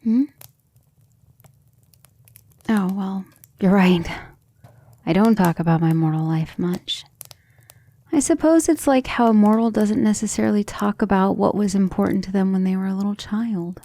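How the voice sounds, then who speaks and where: A woman acts out an ancient vampire lady in an ASMR roleplay, low in pitch with a soft, dark timbre. She is proud yet devoted, musing tenderly in a breathy murmur that often sinks to a whisper. Her words come out refined and intimate, edged with vocal fry. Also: moderate pace, pauses often, natural voice. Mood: wistful, confiding. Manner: affectionate, refined, hesitant musing.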